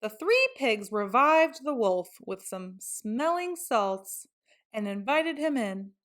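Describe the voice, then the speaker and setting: A woman reading a picture book aloud to children in an expressive storytelling style. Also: fairly high pitch, slow. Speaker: storybook reader.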